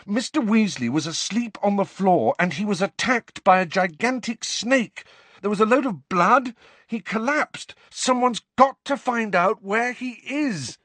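A man's voice: high voice, expressive, measured pace, fairly bright tone, no echo, voice pushed up, exaggerated voice. A man voicing a panicked teenage wizard boy in an audiobook, breathless and urgently insistent. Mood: urgent, angry. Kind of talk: audiobook character acting.

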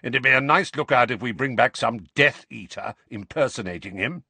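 A man's voice: mid-range voice, animated, normal speed, creaky, bright, forceful tone, slightly rough, no room sound, exaggerated voice. A man voicing a growling, irritable old wizard veteran. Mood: suspicious. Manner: barking, commanding. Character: gruff, suspicious, irritable grizzled old male wizard veteran.

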